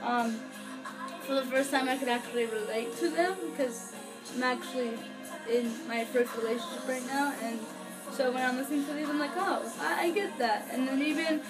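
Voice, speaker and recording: A woman's voice: sits high, steady tone, normal speed, over music, poor audio. A young woman giving a casual, unscripted review to camera in an earnest, gushing way, often hesitating and trailing off mid-thought. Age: young adult. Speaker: vlogger, fan album reviewer. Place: small furnished bedroom, indoors. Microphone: camera or webcam a few feet away.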